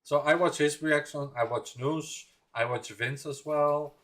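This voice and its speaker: A man's voice: a little high, fairly even intonation, measured pace, fairly bright tone. A young Dutch man from the Netherlands hosting a livestream, chatting casually, rambling and laughing as he reads viewer comments. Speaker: livestream reaction host.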